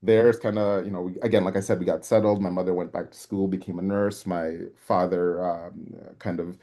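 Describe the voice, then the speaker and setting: A man's voice: sits low, level tone, normal speed, warm tone. A man raised in East Africa and Canada, a native speaker of a Ugandan language, reflecting casually as an interview guest in circling phrases. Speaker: podcast guest, track coach.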